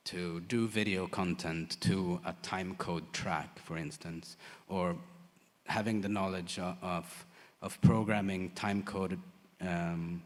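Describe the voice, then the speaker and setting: A man who works as a lighting designer, answering audience questions in a halting way with many fillers and restarts. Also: low voice. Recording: long hall reverb.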